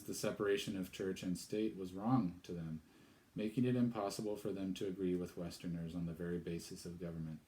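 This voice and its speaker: Low-voiced man reading a novel aloud. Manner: relaxed, chatty asides. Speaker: storyteller. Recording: echoey room.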